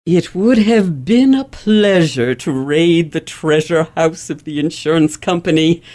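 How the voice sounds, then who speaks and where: A woman's voice: lowish voice, darkish tone, lightly acted. A woman playing a proud, imperious elocutionist lady in an old-fashioned radio play, with formal, emphatic diction. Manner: pompous, formal, emphatic enunciation.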